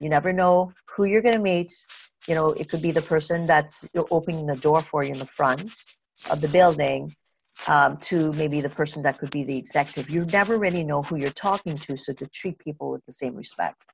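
A woman's voice: low-pitched, fairly quick, gravelly, a little hiss or hum, poor audio. A woman from Trinidad and Tobago hosting a conversational interview podcast, thinking aloud in a wordy, reflective way.

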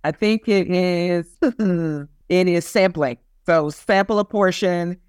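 A woman's voice: on the low side, slow pace, darkish tone, clean tone, dry recording. A woman guest chatting casually on a business panel. The sound is dry and close, with hardly any room. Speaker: podcast guest, category management professional.

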